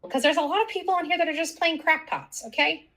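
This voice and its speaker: A woman's voice: high voice, fast, a bit bright. A woman on a casual, confrontational rant, blunt and combative.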